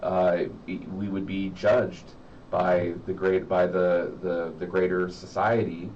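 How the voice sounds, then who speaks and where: A middle-aged American man talking reflectively as an interview guest, halting and repeating words as he searches for the next one. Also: sits low, little pitch movement, a little mellow. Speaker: interview guest, horror story writer. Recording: muffled, distant mic with faint noise.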